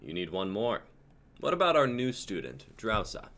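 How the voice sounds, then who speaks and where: A man's voice: fairly low pitch, huge pitch swings, moderate pace, fairly bright tone, exaggerated voice. A man playing an authoritative centaur combat instructor in an amateur fantasy script reading, commanding in manner. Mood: brisk.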